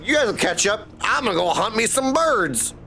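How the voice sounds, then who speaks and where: Sarcastic streamer with lively pitch swings. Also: normal speed. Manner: sarcastic, irreverent. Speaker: game streamer. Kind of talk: casual reactive gameplay commentary. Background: game music.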